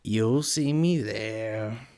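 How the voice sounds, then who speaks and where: A storyteller voicing a grinning, mischievous mad cat from a storybook, with drawn-out, teasing words and a breathy edge. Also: medium pitch, darkish tone. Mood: sly, casual. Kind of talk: storybook character voice.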